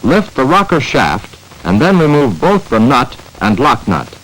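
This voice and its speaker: An American man narrating a 1950s instructional training film in a measured, emphatic, scripted manner, on a vintage film soundtrack. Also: mid-range voice, wildly swooping pitch, a bit bright, low-quality recording.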